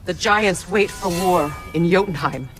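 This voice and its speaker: A man's voice: high-pitched, measured pace, fairly bright tone, soft background music. A man playing a grim, imposing, fatalistic ancient being in a video game cutscene, speaking in a solemn, terse and formal way. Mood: grim, resolute.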